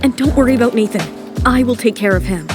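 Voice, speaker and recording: A young bride-to-be voiced by a woman, composed and newly assertive, in an audio romance. Her high voice is firm and earnest, with soft music behind it. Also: fast talker, natural voice. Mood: grateful.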